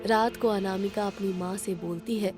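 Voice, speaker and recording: A woman's voice: medium pitch, steady tone, moderate pace, darkish tone, faint music behind. A woman narrator reading a scripted moral story.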